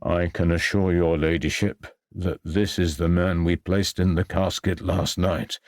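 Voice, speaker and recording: A man's voice: low voice, flat delivery, unhurried, dry recording, studio quality, voice pushed down. Man playing a formal, deferential undertaker. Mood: anxious. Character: deferential, reluctant male chief undertaker.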